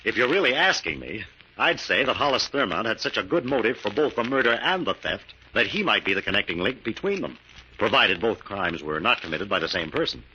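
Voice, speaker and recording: Confident sleuth, low-pitched, animated intonation, wry, old radio serial, lo-fi sound. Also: male voice, a little fast, breathy edge, full character voice. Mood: confident, teasing. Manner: wry, teasing. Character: calm, wry, confident male amateur detective-scientist. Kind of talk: old radio drama acting. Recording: dull lo-fi old radio, faint noise.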